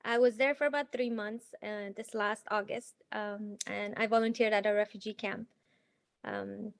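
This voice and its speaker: A woman who grew up in Afghanistan, a healer guest in an interview, talking earnestly with many pauses, fillers and restarts. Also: mid-range voice, expressive. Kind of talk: casual interview conversation.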